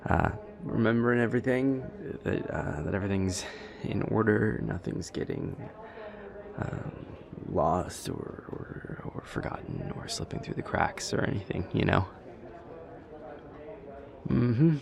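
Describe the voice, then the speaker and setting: A man playing a nervous vampire boyfriend who is afraid of flying, speaking haltingly with stammers in an intimate audio roleplay. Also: fairly low pitch, sing-song, gravelly, a crowd in the background, heavily acted. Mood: flustered, anxious.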